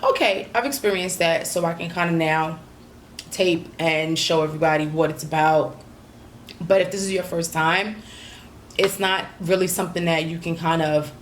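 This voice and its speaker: Slightly hoarse, lower-voiced chatty woman. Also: slight background noise. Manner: relaxed, chatty, many ums and you-knows. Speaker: vlogger. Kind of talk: casual vlog recap.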